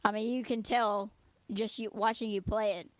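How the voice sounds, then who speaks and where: A woman's voice: mid-range voice, flat delivery, normal speed, dry recording. A woman in her twenties interviewing a guest in a friendly, chatty way, rambling a little. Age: 20s. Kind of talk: casual interview.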